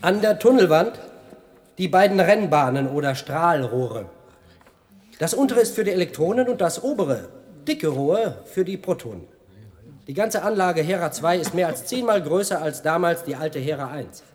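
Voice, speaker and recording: An actor playing a male science tour guide in a radio play, speaking in a formal, measured, didactic way. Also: a little high, lively intonation, a little mellow, close to an everyday voice. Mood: formal lecturing.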